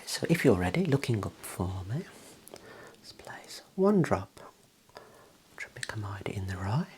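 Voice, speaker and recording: Man, very low pitch, varied intonation, soft breathy half-whisper, reassuring clinical ASMR role play. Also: a little hiss or hum. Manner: gentle, procedural, reassuring instructions. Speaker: ASMR host playing an eye clinician.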